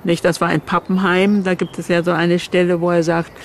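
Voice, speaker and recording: A man's voice: high-pitched, level tone, brisk, vocal fry, slight background noise. A man recollecting informally as an interviewee in a documentary.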